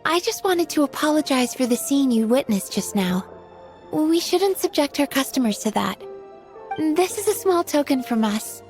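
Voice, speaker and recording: A polite young restaurant worker in a game, her voice fairly high. She is courteous and anxious to apologize. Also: animated, normal speed, a little mellow, faint music behind. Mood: apologetic.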